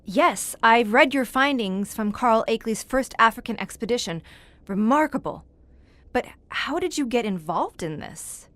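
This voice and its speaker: A woman's voice: fairly high pitch, expressive, normal speed, fairly bright tone, no room sound. An actress playing an inquisitive, educated woman visitor who questions formally in an audio horror drama. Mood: probing. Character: inquisitive, educated woman visitor, composed but easily appalled.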